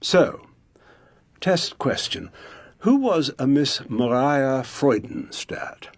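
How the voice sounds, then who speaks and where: An actor playing an affable but probing British intelligence major in a radio drama, careful and officious. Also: male voice, medium pitch, big pitch swings, slow pace, no echo.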